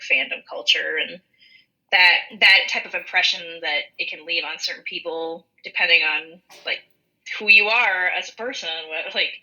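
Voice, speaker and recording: A woman's voice: on the low side, sharp tone, poor audio. A woman in her twenties with a Minnesotan accent, chatting casually and enthusiastically on a podcast in a rambling way, recorded over an internet call. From rural western Minnesota, USA. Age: 20s. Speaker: podcast co-host.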